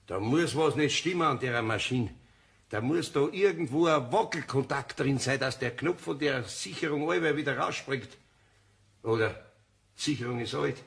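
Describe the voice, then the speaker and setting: An actor playing a flustered, sceptical wood-turner in a German radio play, blustering and muttering in a gravelly voice with a Bavarian accent. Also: male voice, mid-range voice, moderate pace, a bit bright. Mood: exasperated, muttering. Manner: blustering, exclaiming, muttering to himself.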